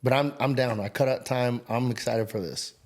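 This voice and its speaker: Guest, man, 40s, mid-pitched, upbeat, energetic and casual. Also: normal speed. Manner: upbeat, energetic, restarts and slang. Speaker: podcast guest. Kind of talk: casual interview chat.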